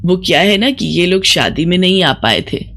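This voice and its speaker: A narrator voicing a gentle, forgiving elderly village mother-in-law with patient, soothing speech in an animated moral story. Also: medium pitch, moderate pace.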